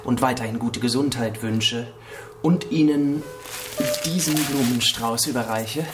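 A man's voice: mid-range voice, unhurried, natural voice. Ceremonious suitor played by a man, music behind. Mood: ceremonious courting. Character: formal, flattering suitor in his thirties. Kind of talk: radio drama acting.

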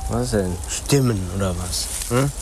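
An actor playing a sceptical, teasing boyfriend, speaking in casual, mocking colloquial style in a naturalistic radio drama. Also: male voice, on the low side, unhurried, very noisy. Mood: grumbling.

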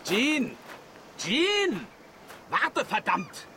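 An actor playing a gruff, irritated father who defends fishermen, speaking emphatically in a radio drama. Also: very high voice, huge pitch swings, extremely slow, background noise, heavily acted. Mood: exasperated.

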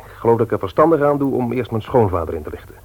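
An actor playing a good-humoured young husband and bookseller in a Dutch radio play, with a casual manner. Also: male voice, mid-range voice, lively intonation, racing, a little mellow, slightly rough. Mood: earnest. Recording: radio drama recording.

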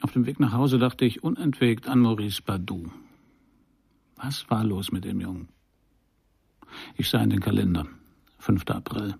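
An actor playing a world-weary private detective, narrating a noir radio drama in a dry, laconic way. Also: male voice, lowish voice, moderate pace, gravelly, pauses often, darkish tone, breathy edge, no room sound, full character voice. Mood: wry, pensive.

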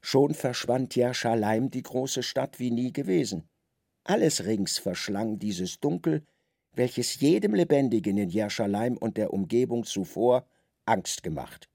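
A man reads literary prose solemnly as a radio play narrator. His mid-pitched voice is very creaky, recorded dry and close. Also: expressive, a little slow, dark tone, no room sound.

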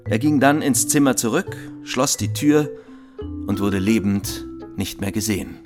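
An actor playing a matter-of-fact police inspector who gives a formal, methodical report in a German radio crime drama. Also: male voice, on the low side, a little slow, natural voice. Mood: factual, methodical. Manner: methodical, factual, formal delivery. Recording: music behind the voice. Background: music.

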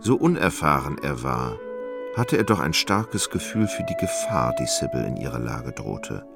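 Really deep gravelly guy narrating a literary radio drama, expressive pitch. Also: moderate pace, music in the background. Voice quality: very deep, creaky.

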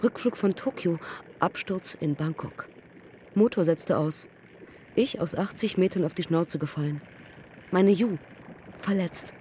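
An actress in a radio play playing a young pioneer woman aviator who recalls her crashes in terse, measured fragments. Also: on the low side, dark tone, a little hiss or hum, lightly acted. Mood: terse, haunted. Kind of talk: literary radio drama acting.

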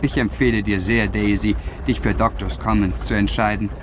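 An actor playing a self-assured young gentleman with an urbane, lightly mocking manner in a radio drama. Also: lowish voice, steady tone, normal speed, noisy background, natural voice. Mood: nonchalant. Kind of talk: German radio drama dialogue.

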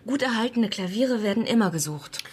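An actress playing a composed, precise online-auction expert in a radio crime drama, explaining patiently and with assurance. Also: female voice, fairly high pitch, expressive, a little fast, darkish tone, breathy edge. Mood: self-assured.

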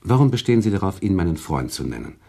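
An earnest, high-minded gentleman in a literary radio drama. His voice is low, dark and husky, and he speaks formally and precisely. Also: sing-song, quick pace, full character voice. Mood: indignant. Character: earnest, indignant male narrator-friend, a moralising gentleman. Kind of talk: German radio play, literary dialogue.

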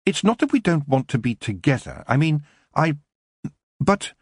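An earnest young woman witch as a male narrator acts her out in a storybook. The voice is raised above a man's normal pitch and very lively in its rise and fall, frank and determined. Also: moderate pace, breathy edge, dry recording, studio quality, heavily acted. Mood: upset. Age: young adult. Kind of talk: audiobook character voice.

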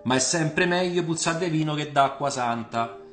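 A man voicing a tipsy, jovial older Roman popular leader, loud, singsong and drawling, in an audio storybook. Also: a little high, normal speed, reverberant, faint music behind, pitched above the performer's own voice, natural voice. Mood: jovial teasing.

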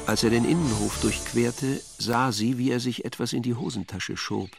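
A man narrating a scripted radio drama as a storyteller, with a gravelly voice. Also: medium pitch, normal speed, faint music behind.

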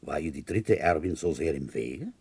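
An actor playing a shrewd, quietly ambitious rural police inspector in a German radio crime drama, questioning in a pointed, probing way. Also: male voice, very deep voice, expressive, normal speed, husky, heavily acted. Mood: blunt, insistent.

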